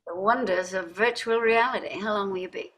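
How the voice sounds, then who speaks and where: A woman's voice: medium pitch, fairly quick, fairly bright tone, natural voice. An older woman playing a wealthy, weary and sardonic wife and mother in a staged play reading, pointed and dramatic in her delivery. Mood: wry.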